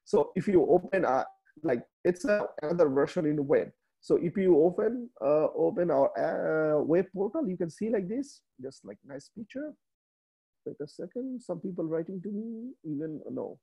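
A man in his thirties from Bangladesh, a native Bengali speaker with a Bangladeshi accent, giving a slide presentation on a video call, halting with many fillers and restarts. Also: high voice, expressive, creaky, warm tone, breathy edge, rough recording. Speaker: webinar speaker. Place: small room indoors.

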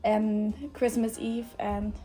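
An eighteen-year-old woman from northern Germany with a German accent, speaking English as a second language in a relaxed chat full of fillers. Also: mid-range voice, slow, soft background music. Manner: relaxed, many fillers like "like" and "yeah". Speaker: guest volunteer. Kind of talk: casual chat on camera. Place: indoor room with shelves.

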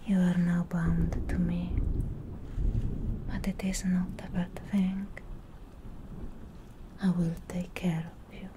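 Woman playing a slow whispering, possessive witch. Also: on the low side, level tone. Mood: tender, possessive. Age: young adult. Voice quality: breathy whisper. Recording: close whisper with ambient background noise.

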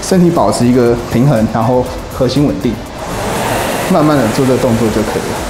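A man, giving a brisk, step-by-step demonstration of a rowing machine in a small office gym. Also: medium pitch, very noisy. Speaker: fitness equipment instructor. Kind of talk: instructional demonstration. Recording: roomy room with background music.

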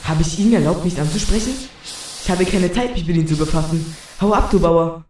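A man's voice: on the high side, brisk, darkish tone, natural voice. An actor playing an unhinged, insolent young male arsonist who taunts in a German audio drama. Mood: taunting, menacing. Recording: echoey, distant, noisy hissing ambience.

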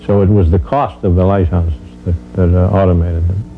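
A man in his seventies explains events to an interviewer. His voice is dark and fairly low, with a faint hum behind it. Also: little pitch movement, normal speed, low-quality recording. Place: indoor room. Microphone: lapel mic.

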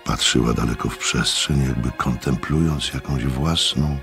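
Radio drama narrator: reflective eyewitness, very deep and creaky, over faint music. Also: male voice, moderate pace, a bit bright, exaggerated voice. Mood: grave, observant. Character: thoughtful male narrator, sceptical yet impressed witness.

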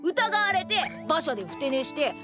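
A tiny, boastful boy fairy from an anime cartoon. The voice is extremely high and swoops about with brash indignation. Loud music plays behind it. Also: big pitch swings, normal speed. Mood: stubborn, proud. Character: tiny cocky, hot-tempered boy fairy, loud and self-important. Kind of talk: exaggerated Japanese anime voice acting. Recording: background music under the dialogue.

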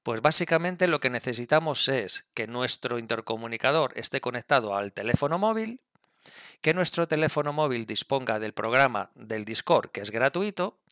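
A man's voice: medium pitch, animated, moderate pace, a bit bright, dry recording, studio quality. A middle-aged man with a Spanish accent from Spain explains things casually to camera on a headset mic, often checking in with listeners. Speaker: video creator, gamer and motorcyclist. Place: indoors at a desk with a computer. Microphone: gaming headset mic, close.

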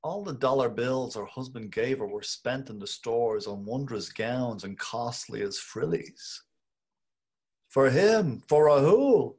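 A quiet, husky man muses over the books he is browsing. His voice sits mid-pitch and soft. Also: lively intonation, slow. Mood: musing. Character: middle-aged man browsing racy novels. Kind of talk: dramatic reading. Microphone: video call.

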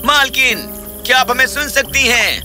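A man voicing a humble, earnest working man in a children's cartoon fairy tale, polite and expressive. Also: extremely high pitch, music in the background. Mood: alarmed.

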